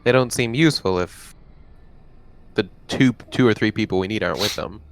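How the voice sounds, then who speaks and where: A man's voice: fairly low pitch, normal speed, slight background noise. A man casually chatting as a tabletop game player, with a dry, quibbling manner. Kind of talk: casual chat.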